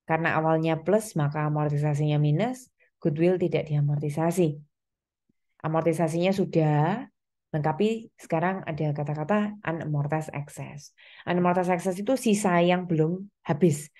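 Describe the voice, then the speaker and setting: A woman lectures to students in a slightly low, very mellow voice. She explains things step by step, often pausing on reminders and small check-ins to keep listeners following. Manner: instructional, step-by-step, with frequent reminders and check-in tags. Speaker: accounting lecturer.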